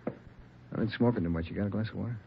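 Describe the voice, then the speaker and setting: An actor playing a cocky, evasive suspect of about thirty, sarcastic and wisecracking, in an old-time radio crime drama. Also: male voice, fairly low pitch, fast talker, creaky. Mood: irritated. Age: 30s. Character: cocky, evasive male suspect, thirty-one, smart-mouthed. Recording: noisy, tinny old radio recording.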